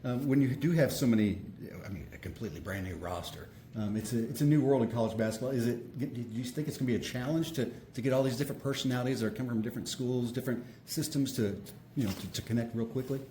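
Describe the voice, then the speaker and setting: A man, a sports reporter, asks questions quickly and sets each one up with context. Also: medium pitch, warm tone. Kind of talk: interview questions. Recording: echoey room with faint background noise.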